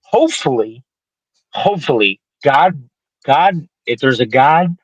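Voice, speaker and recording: A young man from the Detroit area, rambling and swearing freely through a casual sports chat. His voice sits a touch high for a man, and the recording is dry and close with no room sound. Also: lively intonation, measured pace, slightly rough, dry recording, highs cut off. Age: 20s. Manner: profane, rambling, joking.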